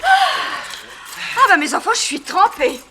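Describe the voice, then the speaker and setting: A spirited gutsy heroine in a 60s radio drama, high and sharp, very theatrical. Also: female voice, takes it slowly, exaggerated voice. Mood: breezy, amused. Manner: lively, theatrical. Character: spirited, plucky female detective's accomplice. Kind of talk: 1960s French radio crime serial acting.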